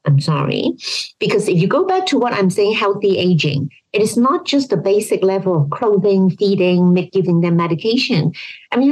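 A woman's voice: mid-range voice, a little mellow, husky, poor audio. A woman explaining her views as an expert interview guest, opinionated and emphatic, often posing rhetorical questions. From Hong Kong. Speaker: expert guest, health policy professor. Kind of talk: interview answers, explanatory talk.

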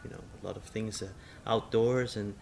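A middle-aged man, a chef, interviewed in a restaurant, talking in a halting way with many restarts. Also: fairly low pitch, fairly even intonation, creaky, a little mellow, a little hiss or hum. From Singapore. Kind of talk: casual interview.

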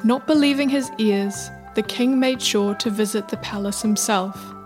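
Lady narrator telling a folktale, highish voice, measured, bit of music behind. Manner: measured, literary storytelling. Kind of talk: scripted folktale storytelling narration.

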